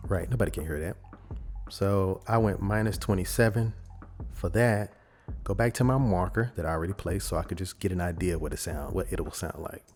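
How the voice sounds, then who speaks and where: A man presenting a casual software tutorial, explaining informally and thinking aloud as he goes. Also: deep voice, a little fast, soft background music. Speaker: audio editing tutorial presenter.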